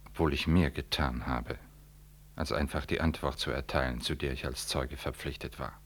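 Very deep-voiced, solemn priest. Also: male voice, steady tone. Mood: solemn, guarded. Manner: deliberate, ceremonious. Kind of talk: German 1960s radio drama acting. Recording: 1960s radio recording, faint noise.

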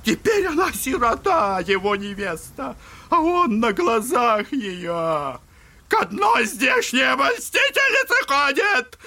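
A man's voice: very high voice, very animated, slow, heavily acted. An actor playing a ranting, clownish, spiteful old father in an audio drama, theatrical and whining with mock piety. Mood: wailing outrage. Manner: theatrical, whining, mock-pious wailing and shouting. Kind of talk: Russian classic audio drama acting.

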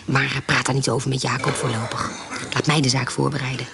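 An actress playing a bold, scheming mistress of a country house in a Dutch radio play, with a probing, headstrong manner. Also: female voice, rumbling low, level tone, quick pace, vocal fry. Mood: scheming, curious. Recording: noisy radio play recording.

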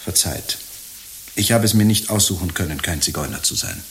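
An actor playing an anxious, lovestruck ageing friar in a radio drama, hesitant, stammering and plaintive. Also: male voice, low-pitched, expressive, moderate pace, a bit bright, slight background noise, poor audio.

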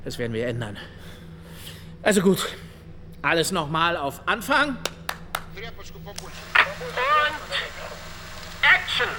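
A man's voice: on the high side, huge pitch swings, moderate pace. An actor playing a bossy, short-tempered male film director in a radio drama, talking in a domineering, theatrical way. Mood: commanding. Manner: domineering, theatrical, switches from muttering to shouting. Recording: long hall reverb, faint noise.

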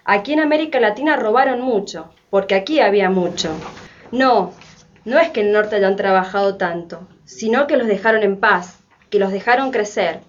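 A woman's voice: mid-range voice, normal speed, close to an everyday voice. A woman narrating in an educational radio drama. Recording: dull lo-fi sound.

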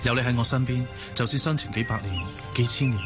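A man's voice: medium pitch, fast talker, lightly acted. Guy acting a loyal careful boyfriend, mid voice, hong kong cantonese radio drama, music underneath. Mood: tender, reflective. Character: devoted, cautious man in love, thoughtful and loyal. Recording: music behind the voice.

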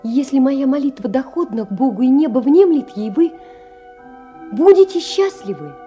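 An actress playing a gentle, lonely poor young woman, wistful and earnest, in a theatrical literary audio drama. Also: high voice, big pitch swings, normal speed, faint music behind. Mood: solemn, grateful.

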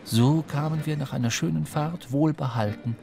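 An actor playing a reflective seafaring narrator, reading literary prose in a radio drama. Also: male voice, on the high side, normal speed, gravelly, dark tone. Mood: exhilarated, lyrical. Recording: music under the voice. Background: music.

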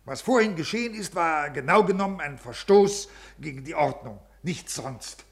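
A rigid senior official in a 1950s German radio drama. His voice sits a little high for a man and has a gravelly creak. He speaks stiffly and formally, officious and always on the defensive. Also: expressive, normal speed. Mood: cold, self-justifying.

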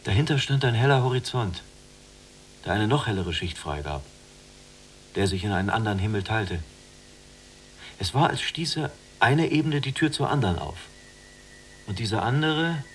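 A man's voice: mid-range voice, slight background noise, natural voice. A man acting in a literary radio drama as a caring, dreamy younger prisoner with earnest, lyrical phrasing.

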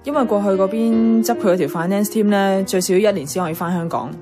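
A woman's voice: medium pitch, fast, close to an everyday voice. Blunt young Hong Kong woman played by an actress over music. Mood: reflective, unsure. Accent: Hong Kong Cantonese. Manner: blunt, colloquial. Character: blunt, bossy young career woman, privately lonely. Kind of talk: Cantonese radio drama dialogue. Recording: clear music playing behind the voice.

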